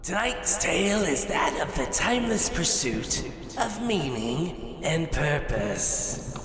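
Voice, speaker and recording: A man plays a grandiose, macabre horror show host, speaking slowly with theatrical swings in pitch. The ominous voice rings through a long echoing hall, with crowd sounds behind it. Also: sing-song. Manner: ominous, ornate, drawn-out delivery. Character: macabre, grandiose horror anthology host introducing tonight's tale. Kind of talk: theatrical scripted horror introduction. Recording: echoey long hall reverb, crowd noise.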